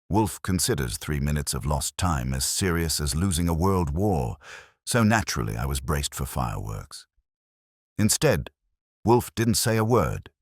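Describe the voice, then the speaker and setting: A man with an extremely deep voice narrates a scripted mystery. His pitch moves in lively swings as he tells it with dramatic, wry timing. The sound is dry and close. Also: no echo. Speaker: narrator and host of a mystery story channel. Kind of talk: scripted narration of a detective story.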